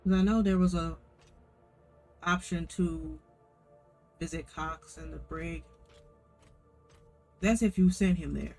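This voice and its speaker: A man's voice: fairly high pitch, steady tone, slow pace, vocal fry, long pauses, a little mellow, soft background music. A man giving casual gameplay commentary as he plays. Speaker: gamer playing on camera.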